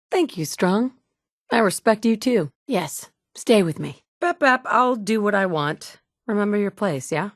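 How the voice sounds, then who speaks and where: A woman's voice: mid-range voice, dry recording. An actress voicing a tough, wry wasteland survivor woman in video game dialogue, talking curtly and dryly. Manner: curt and dry, shifting between blunt and gentle. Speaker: player character. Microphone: close studio mic.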